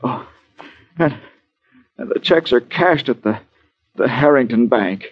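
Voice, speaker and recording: A man's voice: medium pitch, lively intonation, breathy edge. Stammering, frightened bedridden man, muffled radio. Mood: anxious, urgent. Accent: American. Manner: halting, stammering, urgent. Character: anxious bedridden injured man, pleading and frightened. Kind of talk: old-time radio crime drama acting. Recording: muffled vintage radio broadcast recording.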